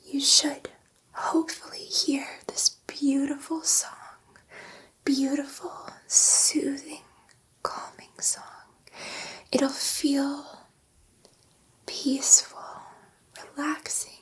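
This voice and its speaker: A woman playing a gentle, curious alien scientist in an ASMR roleplay, speaking in a soft, breathy, reassuring whisper and repeating her phrases. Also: sits high, little pitch movement, slow pace, pauses often, low-quality recording. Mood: soothing, explaining.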